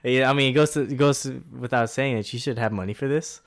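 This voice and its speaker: A man's voice: mid-range voice, fast. A man in his twenties, co-hosting a podcast in a laid-back, rambling way, often laughing. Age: 20s. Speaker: podcast co-host. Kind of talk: casual chat.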